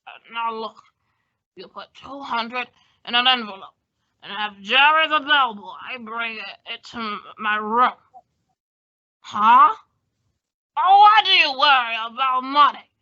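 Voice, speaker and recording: A slippery, tipsy con-man doctor played by a woman, slow and drawn out. The voice is bright and fairly high, with smug old-time radio comedy delivery on a lo-fi recording. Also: lively intonation, heavily acted. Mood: scheming, wheedling. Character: shady, boozy male con-man doctor, smugly slippery.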